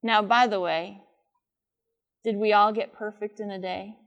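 A middle-aged woman with a loud voice speaks live to a conference audience, teaching and asking them frequent questions. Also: medium pitch, fairly even intonation, a little slow, muffled recording. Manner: says she has a loud voice. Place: large conference room with air conditioner.

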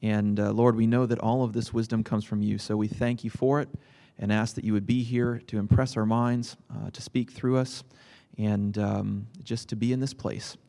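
A man leading an opening prayer at a seminar, speaking haltingly with many fillers. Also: on the low side, little pitch movement, creaky, dark tone. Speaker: seminar panelist. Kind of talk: spoken prayer and self-introduction. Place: convention seminar room. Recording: hall reverb.

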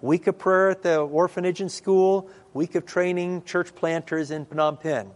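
A middle-aged man, a pastor, telling stories in a sermon and acting out the dialogue in a lively way. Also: high voice, unhurried. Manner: lively storyteller who acts out dialogue. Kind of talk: storytelling sermon. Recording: long reverb in a large hall.

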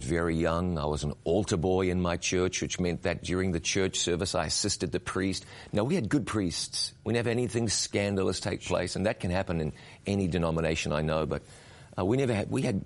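A man's voice: sits low, moderate pace. A middle-aged man, a guest telling his story in an earnest, reflective way. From New Zealand. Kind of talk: interview conversation.

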